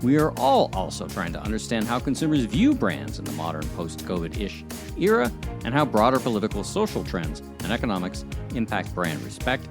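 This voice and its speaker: A man's voice: fairly low pitch, wildly swooping pitch, brisk, over music. A middle-aged man, hosting and interviewing on a podcast. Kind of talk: scripted podcast intro and conversational interviewing.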